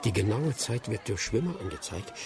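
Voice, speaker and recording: A man playing a calm, careful aide to an imperial official in a radio drama, composed and explanatory. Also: fairly low pitch, moderate pace, distant mic, a crowd in the background.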